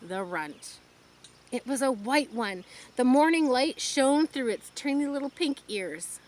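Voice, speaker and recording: Expressive woman reading aloud outdoors. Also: sits high, a little slow. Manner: expressive, adds explanatory asides. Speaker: storyteller. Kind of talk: children's book read-aloud with asides. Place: outdoors, rural.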